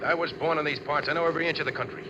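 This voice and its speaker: A hard-boiled young American crook acted by a man, curt and impatient, with a highish voice and rapid delivery. It is old radio drama, muffled, with clear car noise behind. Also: fairly even intonation, lightly acted. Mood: tense, controlled. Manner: curt, tough, clipped. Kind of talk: 1940s radio acting.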